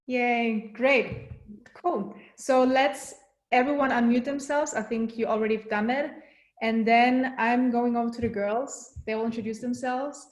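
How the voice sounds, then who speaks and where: A woman hosting a video call, moving quickly through introductions with friendly, brief affirmations. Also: a little high, fairly even intonation, roomy sound. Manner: friendly, brisk, short affirmations. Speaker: event host.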